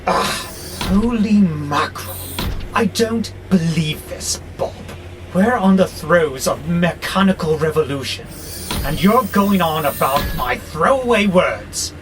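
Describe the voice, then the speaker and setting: High, swooping, irritable rebel robot played by a man. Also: slow, music in the background. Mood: exasperated. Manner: exasperated, sardonic, theatrical grumbling. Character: irritable, rebellious male freight robot plotting revolution. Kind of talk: audio drama acting. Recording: clear steady background noise, vehicle-like hum.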